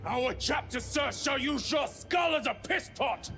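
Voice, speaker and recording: Very high-pitched, fierce space marine. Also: male voice, animated, a little slow, exaggerated voice. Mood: furious defiance. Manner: defiant, declamatory. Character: fierce, hot-blooded zealous space marine warrior, male. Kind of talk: cinematic video game acting. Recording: loud music over the voice.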